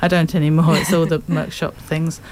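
An English-accented woman in her fifties talks through her work as a guest on a radio program. Her voice sits a little low, and she chats easily, laughing often as she goes. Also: flat delivery, moderate pace. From England. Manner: chatty, laughs often, warm self-deprecating. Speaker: guest artist. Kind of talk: casual radio interview.

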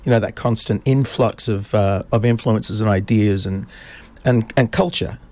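A man's voice: on the low side, moderate pace, a little mellow. A man in his fifties, raised in Australia, telling stories in a casual interview with many fillers and restarts. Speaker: podcast guest.

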